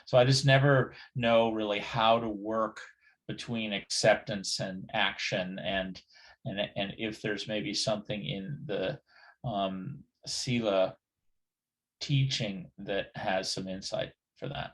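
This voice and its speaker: A middle-aged man on a video call, asking a question with many pauses, "um"s and restarts. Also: on the low side, steady tone, low-quality recording. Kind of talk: hesitant spoken question.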